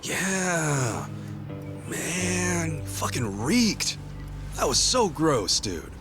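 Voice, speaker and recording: An actor voicing a brash, wisecracking young male yakuza buddy in an English game dub, teasing, excitable and swearing freely. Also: fairly high pitch, extremely slow, exaggerated voice. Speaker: game character. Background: game soundtrack music.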